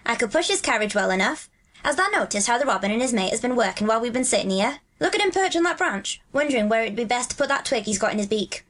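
A woman's voice: fairly high pitch, expressive, quick pace, a bit bright, voice pushed up. A woman voicing a kindly, earnest country boy in a storybook, speaking plain, homely Yorkshire dialect in a reassuring way. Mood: warm, encouraging.

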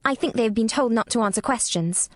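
A woman's voice: a little high, quick pace, no room sound, clean studio sound, pitched above the performer's own voice, close to an everyday voice. A woman reading an audiobook, voicing a curious ten-year-old girl who answers carefully and a little nervously. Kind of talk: audiobook character voice.

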